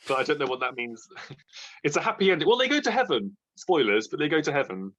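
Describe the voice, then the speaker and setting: A man's voice: fairly high pitch, brisk, highs cut off. A man co-hosting a casual book chat, rambling and joking as he goes. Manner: jokey, rambling, animated. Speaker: booktuber co-host.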